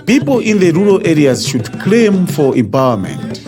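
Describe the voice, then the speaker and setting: A man's voice: on the high side, big pitch swings, moderate pace. A man with a Zambian accent reading a scripted public message as an announcer. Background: music with percussion.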